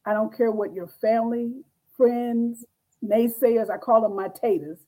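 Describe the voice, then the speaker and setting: A woman's voice: a little high, a little slow, mellow, rough recording. A woman in her sixties, a guest author and business coach, giving a fervent, rallying motivational talk. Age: 60s. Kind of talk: motivational speaking.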